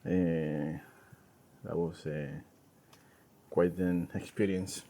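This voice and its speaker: A middle-aged man with an Argentine accent, a native Spanish speaker, chatting casually and haltingly as a tango dancer being interviewed. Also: sits low, steady tone, warm tone. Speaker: professional tango dancer and teacher, interview guest. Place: outdoors in a garden. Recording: noisy outdoor ambience.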